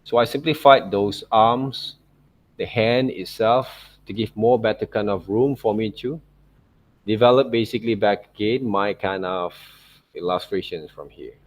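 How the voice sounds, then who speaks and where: A man narrating an informal art software tutorial as a teacher, in loosely phrased non-native English, often checking in with short tag words. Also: fairly low pitch, a little slow. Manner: repeats check words like okay and right.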